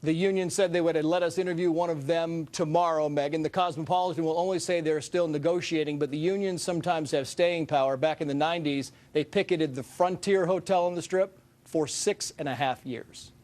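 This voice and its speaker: A man's voice: fairly high pitch, steady tone, a little fast, darkish tone. A male correspondent delivering a news report from the newsroom.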